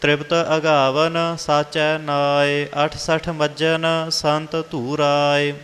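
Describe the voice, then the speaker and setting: A man reciting scripture in a slow, measured chant. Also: a little high, completely flat delivery, fairly bright tone, clear, resonant tone, long reverb tail, faint music behind.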